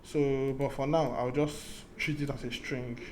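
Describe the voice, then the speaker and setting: A man's voice: medium pitch, fairly even intonation, a little slow, slight background noise. A man teaching a programming lesson, thinking aloud as he goes, often restarting and repeating his key points. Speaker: programming tutor. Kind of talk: casual screen-recorded coding lesson.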